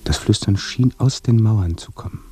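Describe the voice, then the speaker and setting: An actor in a radio drama playing a brooding, fearful dead man confessing from his grave, in with a hushed delivery. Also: on the low side, moderate pace, close to an everyday voice. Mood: haunted, dread. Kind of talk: German radio drama monologue, slow confessional acting.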